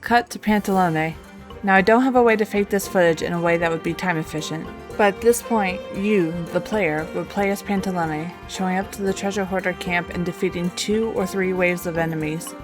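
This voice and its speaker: A woman's voice: lowish voice, fairly quick. A woman reading scripted narration of a video game story as a storyteller. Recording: voice-over with music bed. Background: music.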